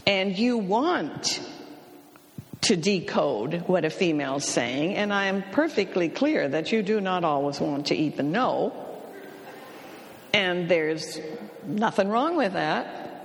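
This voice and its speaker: A woman counselor giving an advice talk to an audience, speaking in a dry, wry and matter-of-fact way and gently teasing the men. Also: on the low side, very animated, slow, vocal fry. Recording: long reverb of a hall.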